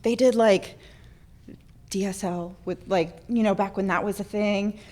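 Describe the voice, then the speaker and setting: A woman's voice: medium pitch, measured pace. A woman from central Florida, born in Norway, sharing a personal story informally with a group in a candid, self-deprecating way. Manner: candid, self-deprecating, animated when acting out moments. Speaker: speaker sharing a personal addiction recovery story.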